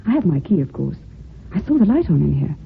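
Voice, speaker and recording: An actress playing a concerned, caring office employee in an old-time radio drama. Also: female voice, on the low side, very animated, quick pace, gravelly. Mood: surprised, inquiring. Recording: noisy, muffled old radio recording.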